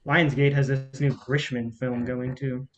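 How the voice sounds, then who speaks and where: A man playing a level-headed assistant to a studio boss in a staged play reading, calming and reasoning. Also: mid-range voice, measured pace, lo-fi sound, close to an everyday voice. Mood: placating.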